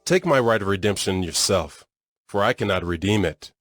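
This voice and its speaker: Casting: cautious biblical kinsman, formal, fairly low voice, faint music behind. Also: expressive, normal speed, fairly bright tone. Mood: guarded, declining. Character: cautious kinsman redeemer, guarding his own inheritance. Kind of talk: dramatized scripture reading.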